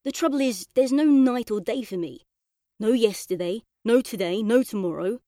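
A woman's voice: fairly high pitch, moderate pace, dry recording, lightly acted. Woman as an earnest boy narrator, close dry sound. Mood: puzzled, sleepy. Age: child. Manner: earnest, colloquial, expressive. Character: boy narrator in a coma, chatty, frustrated, yearning. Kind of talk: first-person children's audiobook narration.

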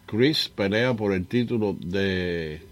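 An older man hosts a casual radio talk show. His voice sits low, and he tells his stories with jokes and easy laughter. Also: slow. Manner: jokey storyteller, laughs often.

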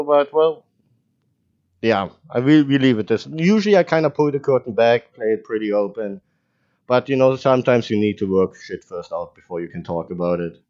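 A man's voice: mid-range voice, a little mellow. A man co-hosting a podcast in casual chat, rambling loosely and laughing often. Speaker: podcast co-host. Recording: dull lo-fi call audio.

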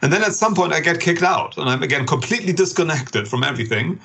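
A man's voice: a little high, expressive, fast talker, gravelly, bright, forceful tone, husky. A middle-aged man with a German accent, a business professor explaining his ideas as a podcast guest, thoughtful and full of rephrasings. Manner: thoughtful, many fillers and rephrasings. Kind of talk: interview answers, explanatory.

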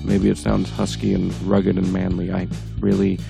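A man with a gravelly, congested voice hosting a podcast in a casual, comedic, self-deprecating way. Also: low-pitched, monotone, normal speed, music in the background. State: congested, under the weather. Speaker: podcast host.

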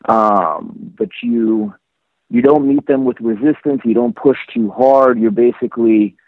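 A man's voice: mid-range voice, no echo. Man talking over a tinny phone line. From Iran. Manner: reflective, halting, many restarts and fillers. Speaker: photographer guest. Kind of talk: casual interview conversation.

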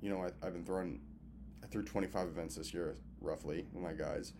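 A man's voice: low voice, steady tone, brisk, noisy background. A man in his twenties from Massachusetts, rambling casually and with plenty of swearing as he talks viewers through a birthday check-in from a hotel. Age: 20s. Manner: loose, profane, talks to viewers as friends. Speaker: filmmaker and event host vlogging.

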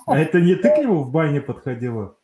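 A man's voice: a little high, huge pitch swings, fast talker, mellow. A man co-hosting a podcast in casual chat, teasing and joking with the guest. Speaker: podcast co-host. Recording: roomy echo.

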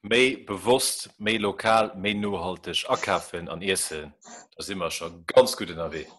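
A man's voice: low-pitched, normal speed, fairly bright tone. A man in a casual podcast discussion who stammers at the start and trails off.

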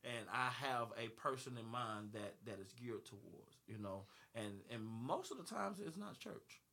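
A man's voice: medium pitch, lively intonation, normal speed, sharp tone. A man in his thirties or forties, a gospel and R&B artist, talking in an interview with many restarts and easy laughter. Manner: rambling, repeats words, laughs easily. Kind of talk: panel interview conversation.

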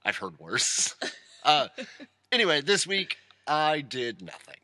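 A man's voice: fairly high pitch, very animated, takes it slowly, bright, forceful tone, dry recording. A man co-hosting a casual podcast, joking and rambling with self-mocking asides. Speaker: podcast co-host. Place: rural home studio.

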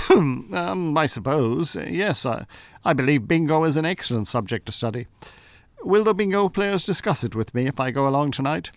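An actor playing a smooth male Irish con-artist hypnotist who poses as a professor, formal and hesitant, in an audio drama. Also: on the high side, expressive, moderate pace, no room sound. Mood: eager, evasive. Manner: hesitant, formal, well-spoken.

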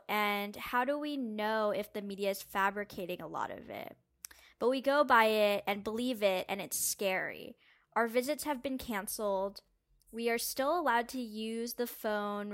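Woman reader, plain and candid delivery, mid pitch, reads written answers aloud.

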